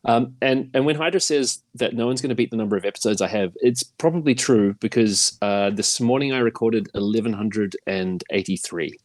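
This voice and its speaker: Quick-talking New Zealand man, dry close sound. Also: on the low side, no echo. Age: middle-aged. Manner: rambling, self-deprecating. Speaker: podcaster guest. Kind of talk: casual chat.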